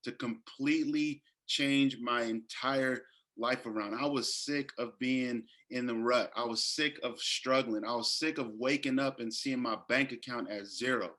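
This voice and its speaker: A man's voice: mid-range voice, steady tone, unhurried, pushed and bright. A man in his thirties from Northern California, a health coach telling his story in a casual interview, earnest and full of restarts. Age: 30s. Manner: earnest, many fillers and restarts.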